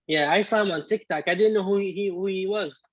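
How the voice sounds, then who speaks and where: A man in his twenties, born in Iraq with Arabic as his first language, telling his story as a guest in a casual interview, halting with many restarts. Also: high voice, no echo, highs cut off. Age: 20s. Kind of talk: casual video-call interview.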